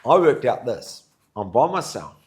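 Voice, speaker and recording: Loud energetic kenyan-raised guy in his 50s telling stories in an interview. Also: mid-range voice, normal speed. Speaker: charity co-founder, guest. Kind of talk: casual interview storytelling.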